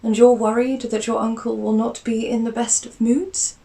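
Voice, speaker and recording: A woman's voice: fairly high pitch, flat delivery, normal speed, gravelly, mellow, far from the mic, voice pushed up. A woman voicing a genteel aristocratic aunt who is fond and teasing, speaking in a prim, emphatic way and recorded a little off-mic. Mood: concerned.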